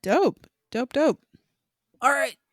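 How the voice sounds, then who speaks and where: A man's voice: very high-pitched, lively intonation, slow pace, a bit bright, no echo, clean studio sound. A man co-hosting a casual movie review podcast, enthusiastic and emphatic. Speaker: podcast co-host.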